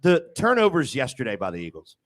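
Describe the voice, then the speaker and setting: A man's voice: mid-range voice, huge pitch swings, fairly quick. A man on a sports talk show discussing football in an emphatic, argumentative way.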